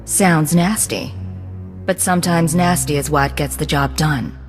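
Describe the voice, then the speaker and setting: A woman's voice: deep voice, measured pace, faint music behind. An actress playing a cool, sardonic female secret agent with dry, cutting replies in a game cutscene. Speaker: undercover imperial agent. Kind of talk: video game cutscene acting.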